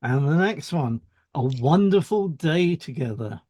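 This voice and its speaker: A man's voice: a little high, lively intonation, unhurried, mellow, no room sound, clean studio sound. A man co-hosting a podcast, reading explanatory script and introducing topics with short conversational links. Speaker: podcast co-host, hypnotherapist.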